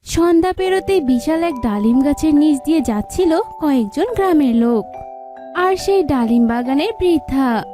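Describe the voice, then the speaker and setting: A woman's voice: high-pitched, expressive, normal speed. Woman storyteller with background music. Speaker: narrator. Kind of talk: scripted story narration. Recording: music behind.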